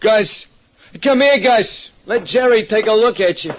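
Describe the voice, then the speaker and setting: A muffled, faintly noisy vintage radio drama recording carries a high-pitched diner owner. He is a working-class American, slangy and ungrammatical. He sounds plaintive, excitable and gloomy. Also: a little slow. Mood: gloomy, hurt. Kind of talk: 1940s radio acting.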